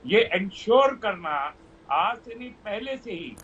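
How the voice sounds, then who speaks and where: A man speaking as a debate panelist, measured, starting haltingly and repeating for emphasis. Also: high-pitched, lively intonation, fairly bright tone. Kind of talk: live TV debate commentary. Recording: muffled, noisy remote audio feed.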